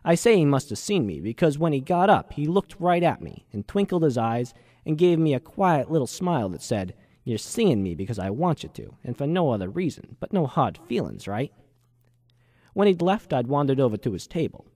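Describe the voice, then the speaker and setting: A man's voice: mid-range voice, big pitch swings, moderate pace, a little mellow, no room sound, clean studio sound. A man playing a cynical, world-weary ex-journalist who narrates a hardboiled noir radio drama in slangy, wry style. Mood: suspicious, wry.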